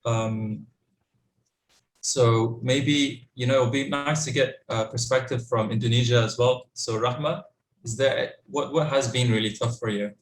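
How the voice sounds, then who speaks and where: A young man moderates a youth panel in loose, halting speech full of fillers and restarts. His voice is mid-pitched and carries a roomy echo. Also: steady tone, slight background noise. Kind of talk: hesitant casual moderating.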